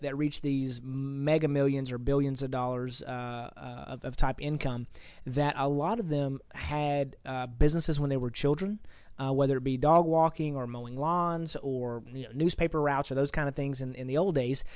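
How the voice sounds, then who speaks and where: A man's voice: mid-range voice, fairly even intonation, normal speed, smooth, no room sound. Rambling male podcast host. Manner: rambling, repeats words while thinking. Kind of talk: casual interview chat.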